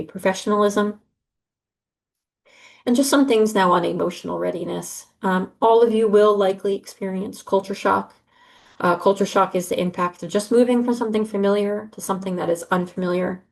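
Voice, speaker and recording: A woman's voice: lowish voice, gravelly. A middle-aged woman, an advisor giving an earnest, reassuring briefing to students over a video call, with frequent ums and uhs. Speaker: study abroad advisor.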